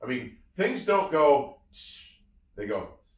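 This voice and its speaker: A man's voice: fairly high pitch, very animated, takes it slowly. Guy geeking out about audio gear, emphatic, voice swings up and down a lot, echoey room. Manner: emphatic, effusive, repeats phrases. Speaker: audio reviewer and show host. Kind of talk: casual enthusiastic product discussion. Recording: roomy, somewhat distant from the mic.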